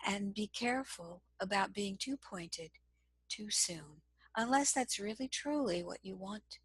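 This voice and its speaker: A woman with a soft voice giving a slow, measured, gentle lesson on communication as a trainer. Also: mid-range voice, creaky, slightly rough, no echo, poor audio. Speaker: cross-cultural communication trainer. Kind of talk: instructional lesson to camera.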